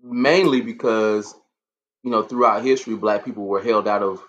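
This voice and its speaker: A man speaking thoughtfully in a casual panel discussion, pausing often with fillers. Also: fairly low pitch, dull audio. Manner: thoughtful, reflective, lots of fillers.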